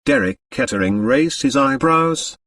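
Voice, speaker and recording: Expressive male audiobook narrator, slightly distant. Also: medium pitch, unhurried, no echo, studio quality. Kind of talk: scripted literary narration.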